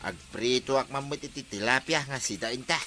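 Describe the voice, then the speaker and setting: An actor playing a cheeky, teasing villager in a radio comedy drama. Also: male voice, medium pitch, moderate pace, over music, close to an everyday voice. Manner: teasing, laughing.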